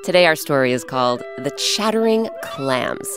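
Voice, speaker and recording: Female children's story host, lowish voice, very lively intonation, emphatic scripted narration, light music underneath. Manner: emphatic, dramatic pauses.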